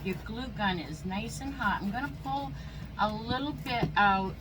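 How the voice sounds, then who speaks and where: A woman with a stuffy nose and a slightly squeaky voice, chatting casually as she narrates each step of a craft project. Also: normal speed, noisy background. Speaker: craft channel host. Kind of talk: casual how-to crafting chat.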